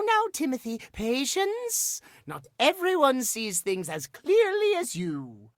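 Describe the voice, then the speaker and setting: A man's voice: very high-pitched, gravelly, fairly bright tone, dry recording, clean studio sound, heavily acted. An actor voicing a fussy, prim male clock obsessed with schedules, speaking formally and precisely in a theatrical, old-fashioned way for a video game. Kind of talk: video game character acting.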